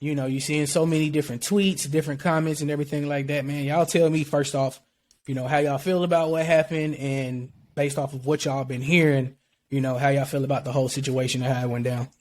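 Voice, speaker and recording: A man hosting a laid-back group podcast in a noticeably high voice. He talks emphatically, repeats hype lines and swears freely as he steers the conversation. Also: steady tone, brisk, a little mellow. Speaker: podcast host. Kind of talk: casual group podcast chat.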